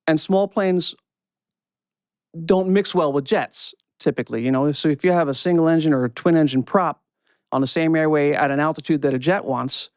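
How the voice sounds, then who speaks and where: A man's voice: fairly high pitch, dry recording, clean studio sound. A middle-aged man speaking as an interview guest, explaining technical matters step by step in a conversational, storytelling way. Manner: methodical, explains jargon step by step. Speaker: guest, former air traffic controller.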